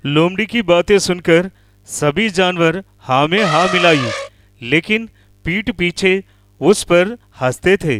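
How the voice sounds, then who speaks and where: A man's voice: high voice, lively intonation, slow, a bit bright, dry recording, clean studio sound, faint music behind. A man narrating a scripted children's animal story.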